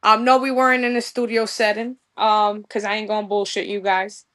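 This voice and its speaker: A woman's voice: mid-range voice, level tone, a little fast, fairly bright tone. A bubbly young woman with a New York accent, chatting in a podcast interview. She gushes freely and drops plenty of street slang. Her voice sits in an ordinary middle register for a woman. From New York, USA. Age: young adult. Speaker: guest musician.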